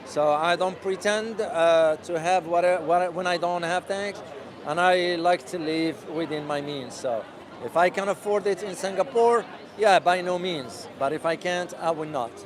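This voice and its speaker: Loud, passionate man on a busy street. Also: high-pitched, normal speed. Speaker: vlogger. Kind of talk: casual walking vlog answering live questions. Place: busy city street outdoors. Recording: crowded outdoor street noise. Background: street crowd.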